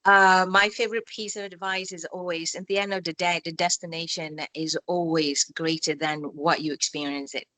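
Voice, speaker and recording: A woman, born in Malaysia, answering interview questions in a calm, reflective way, speaking English with loose grammar and spiritual phrasing. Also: on the low side, moderate pace, few pauses, no room sound, low-quality recording. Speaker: skin expert interviewee. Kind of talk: reflective casual interview talk.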